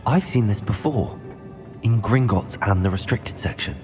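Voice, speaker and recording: An actor playing a polite, determined young student wizard in a video game, speaking with composure. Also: male voice, lowish voice, expressive, moderate pace, close to an everyday voice. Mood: musing, curious. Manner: polite, composed, musing to himself. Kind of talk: scripted video game voice acting. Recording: loud music behind the voice. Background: game music.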